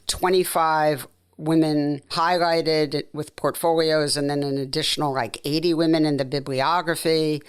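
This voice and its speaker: A woman's voice: low-pitched, fairly even intonation, unhurried, few pauses, smooth. A woman hosting a podcast in a conversational, enthusiastic interview style. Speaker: podcast host.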